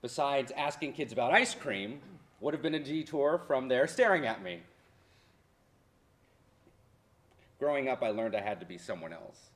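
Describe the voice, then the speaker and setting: An actor playing a history professor, the grown son of deported immigrants, reminiscing in a theatrical monologue that swings from hushed to loud. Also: male voice, mid-range voice, expressive, a little fast, natural voice. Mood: wistful. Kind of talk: dramatic monologue in a staged play reading.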